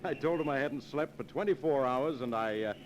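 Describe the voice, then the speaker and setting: An actor playing a scheming, self-assured killer and secret lover in 1940s radio mystery style, theatrical and coolly commanding. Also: male voice, medium pitch, brisk, natural voice. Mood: smug, calculating. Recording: noisy old radio show recording.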